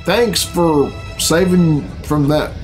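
A man's voice: on the high side, takes it slowly. A middle-aged man giving casual, profane reaction commentary in a sarcastic, bemused grumble. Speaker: reaction video host. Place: small bedroom, indoors. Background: video game cutscene music.

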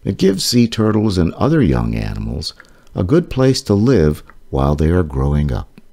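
A man reads an educational book aloud to children in a deep, mellow voice. His delivery is deliberate and explanatory, with lively rises and falls in pitch across the phrases.

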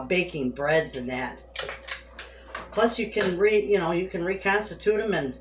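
A woman chatting homily to her viewers as she preps food in her home kitchen. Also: low-pitched. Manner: chatty, homey, talks straight to viewers. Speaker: homesteading cooking vlogger. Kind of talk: casual kitchen demonstration. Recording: echoey kitchen with clear background noise.

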